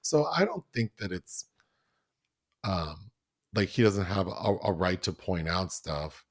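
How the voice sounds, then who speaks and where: Low-voiced man chatting about films. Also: very animated, slow pace, no room sound, clean studio sound. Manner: chatty, many fillers and restarts. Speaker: podcast co-host. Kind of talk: casual film review chat.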